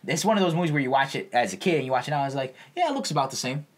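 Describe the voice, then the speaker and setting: Man with very animated, informal delivery. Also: mid-range voice, rapid-fire. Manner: informal, slangy, explains by acting out imagined reactions. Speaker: podcast co-host. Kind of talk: casual opinionated chat.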